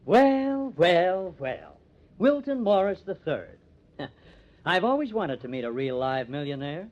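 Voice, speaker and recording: An actor playing a cocky young newspaper artist with a sardonic, teasing manner in an old-time radio crime drama. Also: male voice, high-pitched, very animated, takes it slowly, exaggerated voice. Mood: sarcastic. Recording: dull lo-fi old radio recording.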